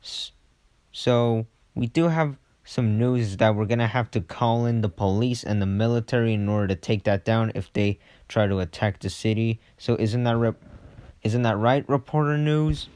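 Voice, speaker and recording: A man's voice: on the low side, moderate pace, a little mellow, clean tone, dry recording, clean studio sound, close to an everyday voice. A man playing a chatty breaking-news anchor in an amateur mock news report, speaking informally. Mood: informal, urgent.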